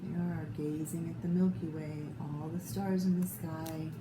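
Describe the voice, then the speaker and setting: An American woman reads a children's picture book at a slow, expressive pace, pausing to point out pictures. Her voice sits a little low. The room is echoey, with clear noise behind her. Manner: expressive, unhurried, pointing out pictures to young listeners. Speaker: storyteller reading picture books to children. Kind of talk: read-aloud picture book narration with asides to listeners.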